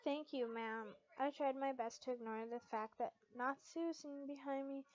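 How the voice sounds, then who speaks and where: A woman's voice: high-pitched, fairly even intonation, normal speed, darkish tone, no room sound. A young woman narrating fan fiction and chatting casually to her channel's followers. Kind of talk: expressive first-person story narration and casual vlog outro. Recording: dull lo-fi home recording.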